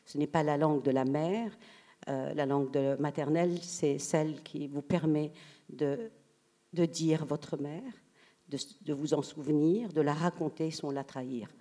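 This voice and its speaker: A woman writer on a literary panel, telling her own story in a soft-spoken, hesitant way, searching for her words. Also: deep voice, fairly even intonation, measured pace. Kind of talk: personal storytelling in panel talk. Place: theatre stage at a public literary event.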